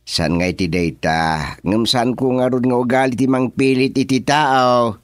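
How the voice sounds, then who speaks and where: An actor playing an eager, talkative political underling in a radio comedy-drama, emphatic and exclamatory, with an Ilocano accent. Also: male voice, mid-range voice, measured pace, dry recording, studio quality, close to an everyday voice. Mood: insistent.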